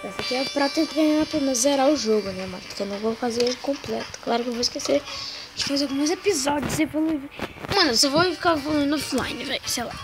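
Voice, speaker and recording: A young Brazilian woman with a hoarse, slightly high voice chats loosely over her gameplay, trailing off into slangy fillers. Game music plays clearly behind her. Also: quick pace, rough recording. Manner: rambling slangy talk, full of fillers. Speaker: gaming channel host. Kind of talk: casual gameplay commentary.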